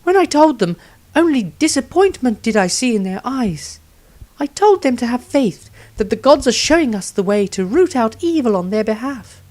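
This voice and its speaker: Woman playing an earnest, high-voiced priestess. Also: sing-song, darkish tone. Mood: solemn, earnest. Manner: earnest, measured. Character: earnest, devout priestess adventurer writing her diary. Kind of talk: fantasy audiobook character reading.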